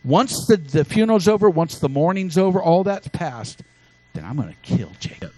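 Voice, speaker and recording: A preacher putting on Esau, a desperate tough hunter. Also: male voice, sing-song, normal speed, dark tone. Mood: resentful. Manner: pleading, high-pitched and strained.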